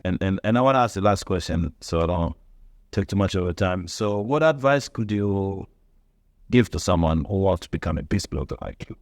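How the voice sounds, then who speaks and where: A man's voice: low-pitched, animated, normal speed, a little mellow, no room sound. A man hosting an interview show, asking questions in a friendly, upbeat way and laughing often. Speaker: host.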